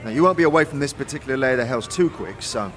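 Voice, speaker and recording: A man voicing an easygoing young foundry craftsman in a fantasy audio drama, instructing in a measured, patient way. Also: mid-range voice, animated, a bit bright, a little hiss or hum, pitched above the performer's own voice, close to an everyday voice. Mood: patient, instructive.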